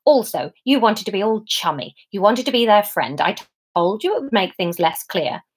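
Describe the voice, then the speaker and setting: A woman's voice: medium pitch, big pitch swings, normal speed, slightly rough. A woman voicing a petulant devil in a storybook reading, churlish, complaining and easily irritated. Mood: accusing.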